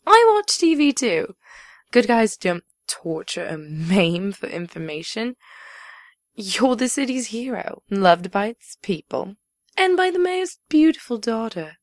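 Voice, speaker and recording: A woman's voice: fairly high pitch, very animated, measured pace, dry recording, clean studio sound. A woman playing a taunting, playful masked villain in an amateur audio drama, drawling and mocking.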